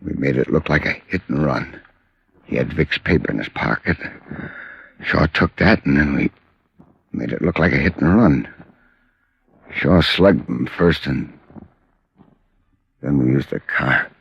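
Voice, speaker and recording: An actor playing a weakened, sullen hoodlum in 1950s radio style, gravelly and husky, evasive and halting. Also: male voice, a bass voice, lively intonation, heavily acted. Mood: defeated, confessing. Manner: halting, stubborn short replies. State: weak, groggy after surgery. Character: wounded male hoodlum, sullen, evasive, cornered and wearing down. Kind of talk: 1950s radio drama acting. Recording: dry, dull old radio recording.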